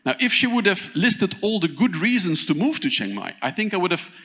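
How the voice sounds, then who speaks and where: A man with a fairly high voice is giving a conference keynote on stage, talking with lively energy and often pausing to put questions to the audience. His voice rings out through a large hall with a long, lingering reverb. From Thailand. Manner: animated, asks the audience questions, pauses often. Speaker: keynote speaker.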